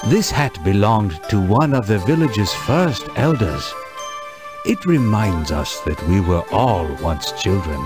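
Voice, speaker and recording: Kindly old village elder in a kids game, slow n ceremonious, really melodic, music behind. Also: male voice, lowish voice, animated. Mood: gentle, solemn. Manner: measured, formal, ceremonious. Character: kindly dignified village elder guarding treasures, patient and grateful. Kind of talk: children's educational game voice acting.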